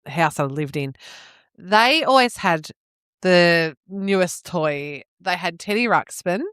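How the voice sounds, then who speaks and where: A woman's voice: fairly low pitch, expressive, takes it slowly, fairly bright tone, smooth, no room sound. Female podcast co-host, Australian, forties, gushing and chatty, recorded dry with no room sound. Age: 40s. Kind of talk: casual nostalgic chat.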